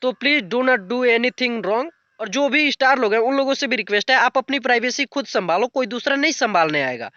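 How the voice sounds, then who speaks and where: A man's voice: very high-pitched, expressive, normal speed, few pauses, pushed and bright, no echo, muffled recording. A young man giving an unscripted, casual commentary rant in a blunt, colloquial way with crude slang. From rural north India. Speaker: YouTube commentator.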